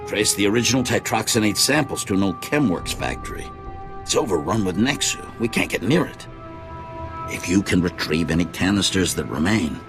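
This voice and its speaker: An actor playing an earnest, serious government official in a sci-fi video game, courteous and brisk. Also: male voice, deep voice. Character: earnest, urgent Republic official. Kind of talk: video game dialogue acting.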